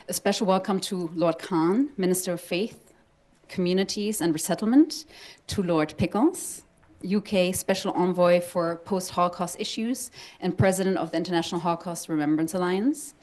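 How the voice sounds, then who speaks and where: A woman's voice: lowish voice, darkish tone. A woman from Germany, a foundation executive, giving a formal prepared speech that reads out a list of welcomes and thanks. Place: large museum hall.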